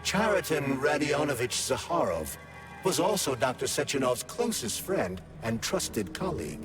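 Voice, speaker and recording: An actor playing an erudite, logical artificial intelligence companion in a video game, explaining things formally and articulately. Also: male voice, mid-range voice, very animated, normal speed, over music, close to an everyday voice. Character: talking AI glove, erudite, logical male companion. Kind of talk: video game voice acting, expository.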